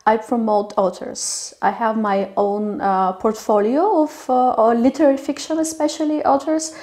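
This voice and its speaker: A young woman from Bulgaria who grew up in Turkey, answering interview questions slowly with many fillers and restarts. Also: on the high side, steady tone, darkish tone. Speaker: interviewee, literary agent.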